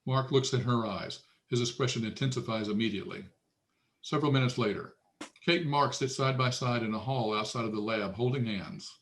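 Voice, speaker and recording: A man reads screenplay directions as narrator at a table read. His voice sits at an average pitch, delivering scripted scene descriptions. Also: fairly quick.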